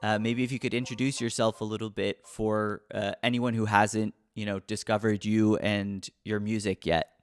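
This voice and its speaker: Smooth-voiced podcast host man. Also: fairly low pitch, flat delivery, normal speed, faint music behind. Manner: rambling, friendly questions with many fillers. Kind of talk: casual interview.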